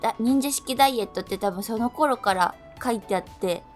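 A woman's voice: a little high, a little fast, soft background music. A woman co-hosting a casual radio show, chatting informally.

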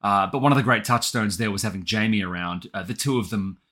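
An Australian man talking reflectively and articulately in an interview, with an animated storytelling way about him. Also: fairly low pitch, fast talker. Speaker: guest author and audio drama writer.

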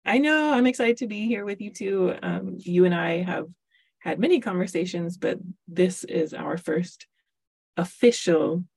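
Woman podcast host, chatty and laughing, raspy with some fry in a lowish voice; dry close-mic sound. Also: lively intonation, a little slow. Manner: warm chatty, laughs often, many fillers. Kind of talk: casual interview.

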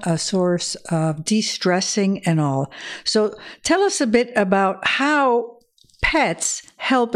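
Woman hosting a coaching podcast, upbeat and friendly. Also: mid-range voice. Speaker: podcast host. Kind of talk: podcast interview.

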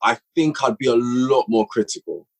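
A man's voice: medium pitch, moderate pace. A man talking as a podcast guest who creates audio dramas, chatting casually and rambling with plenty of fillers. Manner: rambling, peppered with "like". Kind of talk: casual chat.

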